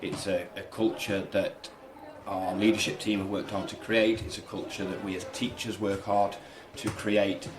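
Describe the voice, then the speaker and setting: A man with a fairly low voice speaks earnestly, as a teacher being interviewed. He is halting but emphatic. The room is noticeably echoey, with other people heard behind him. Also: flat delivery, crowd noise. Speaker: primary school teacher. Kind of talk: radio interview, conversational explanation. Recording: roomy echo, people chattering behind.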